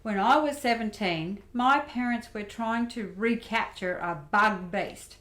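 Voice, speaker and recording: A streetwise young female grifter played by a woman with a highish voice in a roomy, echoey recording. She speaks candidly and plainly, like a resilient survivor in a radio play. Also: unhurried, a bit bright. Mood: matter-of-fact, wistful.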